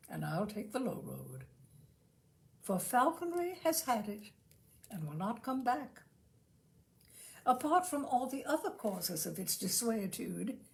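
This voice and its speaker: A woman storyteller reads comic prose in a fairly high, gravelly voice. Her intonation swings widely, giving the literary humor a droll, mock-serious and theatrical emphasis. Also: a little mellow. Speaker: storyteller reading a humorous essay aloud. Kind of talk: literary audiobook-style reading of a comic essay.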